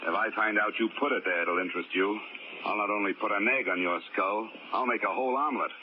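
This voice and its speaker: A man's voice: on the low side, quick pace, background noise, close to an everyday voice. An actor playing a wisecracking, hard-boiled male insurance investigator who narrates his own case in a 1940s radio drama. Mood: angry. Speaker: narrator and lead. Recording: muffled old radio recording with music.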